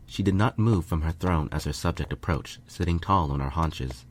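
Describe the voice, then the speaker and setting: A man's voice: rumbling low, normal speed, a little hiss or hum. A man reading a first-person fan fiction story as scripted narration.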